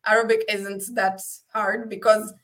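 A woman's voice: mid-range voice, level tone, unhurried, fairly bright tone. Critical woman, native Hebrew speaker. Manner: critical, argumentative, thinks aloud with fillers. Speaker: panelist. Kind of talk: casual online panel discussion.

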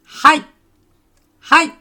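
A woman's voice: extremely slow, bright, forceful tone, heavily acted. A woman playing a rigid, oblivious AI phone operator with a formulaic, clipped manner in a comedy audio drama. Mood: placating. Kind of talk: scripted customer-service lines in a comedy audio drama.

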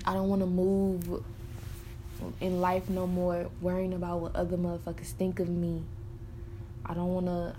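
Young woman in her early twenties, lowish mellow voice, frank and profane, rambles with fillers, confessional monologue. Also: a little hiss or hum. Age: early 20s. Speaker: podcaster. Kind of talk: candid personal monologue.

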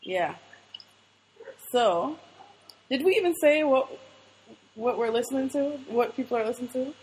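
A woman's voice: on the high side, animated, moderate pace, darkish tone, husky, poor audio. Laid-back woman co-host on a call. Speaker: podcast co-host. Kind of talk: casual chat. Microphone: video call.

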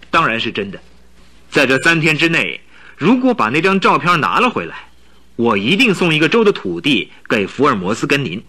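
A man's voice: a little high, bright, forceful tone, full character voice. An actor playing a proud, anxious young king in a radio drama, speaking emphatically and imperiously. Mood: proud, solemn.

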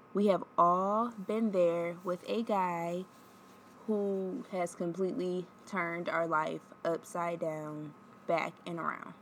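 A woman's voice: lowish voice, creaky, slight background noise. A woman in her twenties hosting her own podcast, chatting candidly with lots of ums and sounding stuffed up from a cold. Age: 20s. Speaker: podcast host. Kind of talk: casual solo podcast chat.

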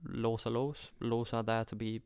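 A man's voice: mid-range voice, flat delivery, moderate pace, darkish tone, smooth, dry recording, clean studio sound. A man from Denmark with a Danish accent speaks in an emphatic, argumentative way, driving points home with repeated phrases in an opinionated conversation.

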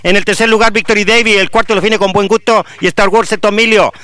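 A man with a Chilean accent calling horse races live, reeling off positions quickly and building to excited finishes. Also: high voice, clean tone, no room sound. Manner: rapid, rising to excited calls at the finish. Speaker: horse racing announcer. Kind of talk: live race commentary.

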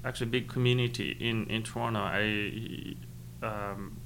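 A man's voice: on the low side, fairly even intonation, normal speed, creaky, fairly bright tone. Thoughtful man, faint hum. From Nepal. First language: Khas. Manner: thoughtful, with many fillers and restarts. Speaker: interviewee. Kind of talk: casual interview.

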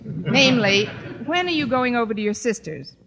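An actress playing a sardonic schoolteacher who makes dry wisecracks, in the style of 1940s radio comedy. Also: female voice, fairly high pitch, moderate pace, in a large hall. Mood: wry, sardonic. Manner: dry, sarcastic, deadpan wisecracks. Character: wry, sardonic unmarried woman English teacher. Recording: very noisy old radio recording.

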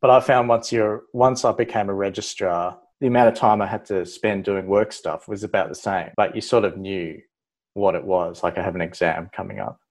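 Relaxed Australian man, low voice. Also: moderate pace. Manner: relaxed, rambling, restarts and "like" fillers. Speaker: guest, ophthalmology registrar. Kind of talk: casual interview chat.

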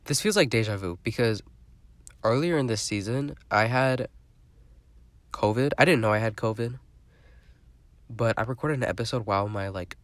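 A man's voice: medium pitch, normal speed, long pauses, no room sound. A young man hosting his own podcast, talking in a rambling, chatty way with a stuffy, congested voice. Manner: rambling, chatty, lots of fillers. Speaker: podcast host. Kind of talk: casual solo podcast monologue.

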